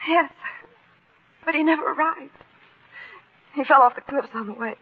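An actress playing an anxious, trusting wife in a 1940s radio melodrama, hesitant, breathless and emotional. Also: female voice, high voice, moderate pace. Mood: relieved, tender. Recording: tinny, narrow old radio broadcast.

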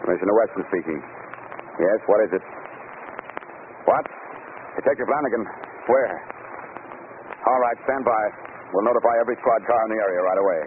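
A man's voice: on the low side, a little fast, pauses often, lightly acted. Man playing a blunt police commissioner, muffled radio. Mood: urgent. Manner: blunt, official, forceful. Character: gruff, accusing, authoritative police commissioner. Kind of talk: 1940s radio crime drama acting. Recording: muffled, hissy old radio broadcast.

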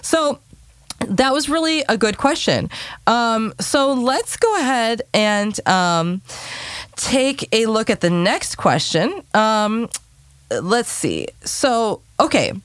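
Woman voice coach w a low voice, chatty, swoops around a lot, dry close mic. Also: very animated, slow, dry recording. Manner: chatty, demonstrative, sings sample phrases. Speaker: vocal coach. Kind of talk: answering viewer questions.

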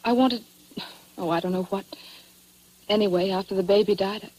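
A woman playing an earnest, troubled young widow, halting and sincere, in 1940s radio style. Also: mid-range voice, a little mellow, close to an everyday voice, poor audio. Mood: pleading, sad.

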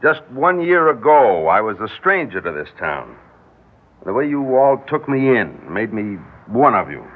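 A man's voice: medium pitch, sing-song, unhurried. A man playing an earnest, hesitant, modest political newcomer in an old-time radio drama. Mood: earnest, humble. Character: earnest, modest male newcomer mayoral candidate in love. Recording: tinny, noisy old radio broadcast recording.

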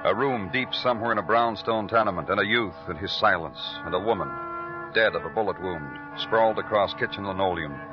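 A muffled old radio recording with music behind an actor as a brooding detective. His low male voice is measured and moody, in a world-weary, hard-boiled mid-century crime-drama style. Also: natural voice. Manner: measured, moody, poetic delivery. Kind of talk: 1940s-50s hard-boiled radio narration.

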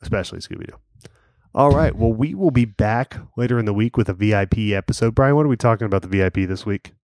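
A man with a fairly low voice co-hosts a casual podcast, rambling and circling back through his points. The recording is dry and close, with no room sound. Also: fast talker, darkish tone, no echo. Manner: rambling, lots of fillers and restarts. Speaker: podcast co-host. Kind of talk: casual chat.

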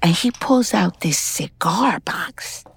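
A woman's voice: fairly low pitch, lively intonation, gravelly, breathy edge, exaggerated voice. Streetwise, weary ex-con woman, confiding. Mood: dry, guarded. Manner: conversational, halting, profane slang. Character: streetwise, weary ex-convict woman, frank and wistful. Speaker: lead actor and narrator in scripted fiction podcast. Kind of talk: intimate first-person audio drama narration.

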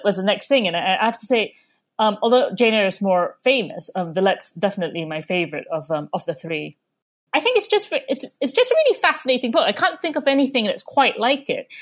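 A woman from Malaysia, an author, casually recommending a book with many ums and restarts. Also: mid-range voice.

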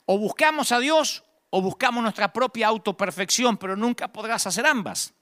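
A man's voice: high-pitched, moderate pace, bright, forceful tone. A man preaching in a conversational evangelical style, emphatic and rhetorical, repeating key phrases for effect, with wry asides.

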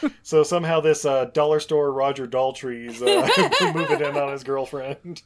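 A man's voice: on the high side, very animated, moderate pace, a loud crowd. Giggly man, rambling film recap. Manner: laughs often, rambling with many fillers. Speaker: podcast co-host. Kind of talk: casual chat recapping a film.

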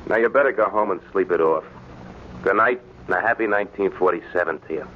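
Actor as weary, low-voiced night barman. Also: fast talker, narrow, tinny audio, lightly acted. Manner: weary, plain-spoken. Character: tired, blunt but friendly late-night barman. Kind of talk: 1940s radio drama acting. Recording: thin, faintly noisy old radio recording.

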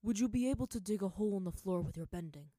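A woman's voice: medium pitch, fast, warm tone, dry recording, studio quality, close to an everyday voice. A woman voicing a stern, worried father who gives his daughter firm orders. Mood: stern urgent. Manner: firm and commanding.

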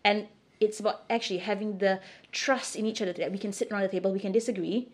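A woman's voice: mid-range voice, fairly even intonation, fast talker. A middle-aged woman from Singapore answering interview questions in a candid, emphatic, conversational way. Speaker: interview guest.

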